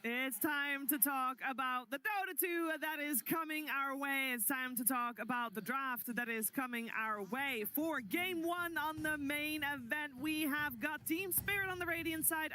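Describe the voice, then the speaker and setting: A woman's voice: high-pitched, sharp tone. A woman hosting a live esports panel and introducing what comes next.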